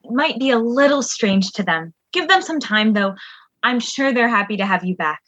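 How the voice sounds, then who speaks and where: A woman plays a kindly commander in a sci-fi radio play. Her voice is fairly high, with casual, reassuring phrasing. The recording is very dry and slightly muffled. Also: animated, normal speed, fairly bright tone, no room sound. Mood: reassuring, curious. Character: kindly, busy female commander giving a crewmate reassurance. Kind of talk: sci-fi radio drama dialogue.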